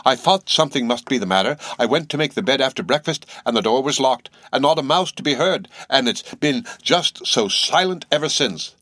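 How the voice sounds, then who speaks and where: A man voicing a flustered, fussy chambermaid woman who cries out in shrill exclamations. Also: expressive, fairly quick, few pauses, fairly bright tone, no echo. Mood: fretful.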